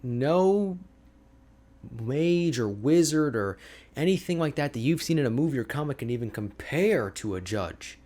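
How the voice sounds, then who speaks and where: A man playing an energetic, boastful, chatty ice mage hermit in an ASMR roleplay, with a playful, expressive, conversational delivery. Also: medium pitch, unhurried. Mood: explanatory. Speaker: audio roleplay voice actor.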